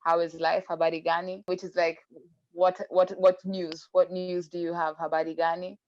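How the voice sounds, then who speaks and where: Lower-voiced articulate woman, dull dry sound. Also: level tone, normal speed, a little mellow, dry recording. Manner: articulate, reflective, hedging. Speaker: panel guest. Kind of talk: casual group chat.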